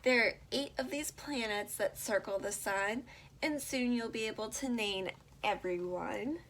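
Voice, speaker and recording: A woman reading a rhyming picture book aloud to young children, pausing to explain and put simple questions to her listeners. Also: a little high, fairly bright tone, slight background noise.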